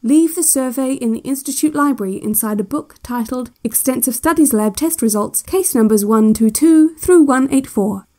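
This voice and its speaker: A woman playing a calm, controlling relaxation tape instructor in an audio drama, measured and soothing with a quietly ominous air, giving guided instructions. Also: sits high, lightly acted. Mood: calm, instructing. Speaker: narrator of relaxation cassettes. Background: new-age music.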